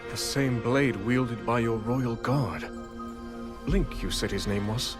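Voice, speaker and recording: A noble, cautious king voiced by a man in a game cutscene. He is grave, stately and formal, with a mid-pitched voice. Music is clear behind him. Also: slow, lightly acted. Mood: wary. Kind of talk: video game cutscene acting.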